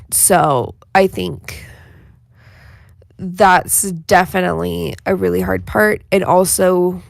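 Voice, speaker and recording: A woman's voice: fairly low pitch, gravelly, dry recording, studio quality. A young adult woman chatting casually on a panel, candid and hesitant as she thinks aloud. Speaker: voice actor and podcast panelist. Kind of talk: casual, reflective panel chat.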